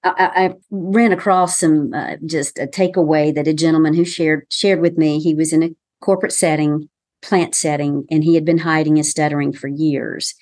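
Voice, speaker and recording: A woman with a softened Southern American accent, a communication consultant giving measured, explanatory advice in an interview. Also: low voice, fairly even intonation, dry recording. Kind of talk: professional podcast interview.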